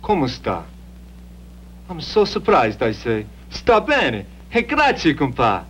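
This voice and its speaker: An actor playing an excitable, warm working-class Italian immigrant husband, telling stories in broken English with an Italian accent. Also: male voice, a little high, slow pace, a little hiss or hum, exaggerated voice. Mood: animated, fond. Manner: broken English, animated storytelling. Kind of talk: acted documentary-style interview.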